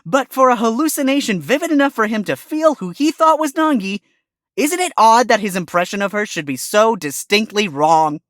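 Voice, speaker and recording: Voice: mid-range voice, lively intonation, a bit bright, no room sound, clean studio sound, lightly acted. A wisecracking fox lawyer, voiced by an actor. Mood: arguing. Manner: quick, wry, exclaiming objections. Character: wisecracking male fox defense attorney.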